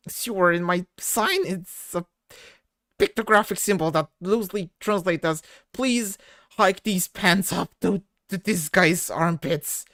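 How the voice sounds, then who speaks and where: A woman voicing a shouty, foul-mouthed young male troll, reading comic dialogue in a loud, rapid, self-loathing rant. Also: lowish voice, no echo, natural voice. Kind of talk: reading webcomic dialogue aloud.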